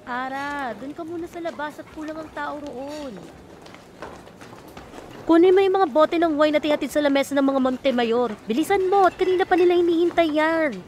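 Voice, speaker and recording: A woman playing a bossy, gossipy young co-worker in a radio serial, with a brisk, chatty manner. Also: sits high, darkish tone, lightly acted. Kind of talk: radio serial drama acting. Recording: clear background noise and ambience.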